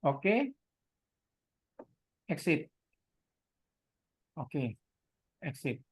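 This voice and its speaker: A woman's voice: low-pitched, big pitch swings, drawn-out words. A woman with an Indonesian accent leading an online equipment tutorial step by step, often posing questions and answering them herself in an instructional way. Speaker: product trainer giving a software tutorial.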